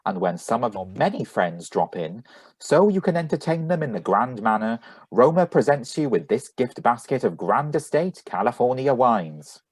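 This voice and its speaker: A man playing a suave, husky 1940s radio announcer delivering a sponsor pitch. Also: big pitch swings, normal speed, no echo. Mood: genial, salesmanlike.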